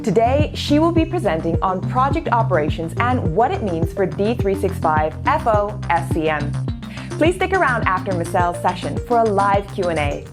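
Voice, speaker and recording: A woman giving a scripted speaker introduction at an online event in a measured announcing delivery. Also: mid-range voice, big pitch swings. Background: music.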